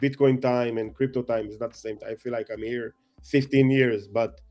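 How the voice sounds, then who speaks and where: A man chatting as a guest, excitable and rambling, self-mocking, with non-native English phrasing. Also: mid-range voice, moderate pace, faint music behind. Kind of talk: casual chat.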